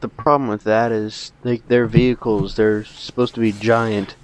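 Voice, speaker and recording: Male co-host, lowish voice, conversational, quick to argue a point. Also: little pitch movement, a little hiss or hum. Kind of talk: casual chat, raising objections.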